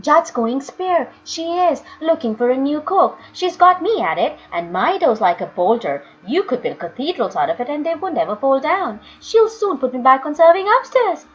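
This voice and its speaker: A woman's voice: sits high, sing-song, moderate pace, hardly any pauses. A woman voicing a big, bustling working-class kitchen maid in a storybook, exclaiming, chatty and comically complaining. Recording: dull lo-fi audio, music underneath.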